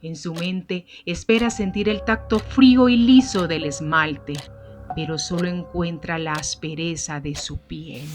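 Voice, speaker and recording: A woman narrating a scripted gothic mystery story in a measured, solemn manner. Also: on the low side, soft background music.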